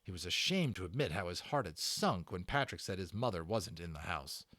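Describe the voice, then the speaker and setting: Male audiobook narrator, dramatic children's storytelling, highly animated delivery, dry recording. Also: fairly quick, no echo.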